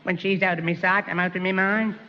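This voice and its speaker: A man's voice: sits high, flat delivery, a little fast, highs cut off, close to an everyday voice. A man playing a dim, earnest young man who stammers and repeats his words, in a 1960s radio sitcom. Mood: flustered. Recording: hissy old radio broadcast with reverb.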